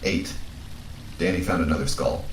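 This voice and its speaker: A man acting a very deep-voiced, reasoning companion. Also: far from the mic, close to an everyday voice. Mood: blunt, insistent. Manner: measured, reasoning, blunt. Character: calm, reasoning male companion, frankly consoling. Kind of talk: audio drama dialogue. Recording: roomy, off-mic, faint background noise.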